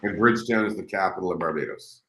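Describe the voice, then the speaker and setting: A man's voice: sits low, lively intonation, fast talker, fairly bright tone, reverberant, slight background noise. A man hosting a live interview, enthusiastic and rambling, often restarting his sentences. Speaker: host.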